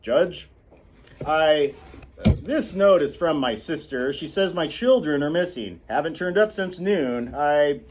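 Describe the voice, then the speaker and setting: A man's voice: on the high side, lively intonation, takes it slowly, a little hiss or hum, voice pushed up. A man voicing a weary lawyer father who speaks earnestly and firmly.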